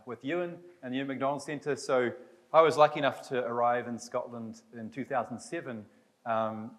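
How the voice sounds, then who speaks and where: A man with a New Zealand accent opens a session at an academic symposium in a lecture hall. His voice sits at an ordinary middle pitch, and he reminisces in loose, rambling phrases with small restarts. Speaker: session chair. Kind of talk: symposium chairing remarks.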